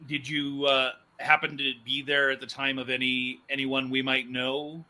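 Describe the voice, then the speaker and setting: A man hosts a casual interview. His voice is bright and forceful, sitting at an average pitch. He speaks conversationally, as if chatting with a guest. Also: flat delivery, moderate pace. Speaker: podcast host.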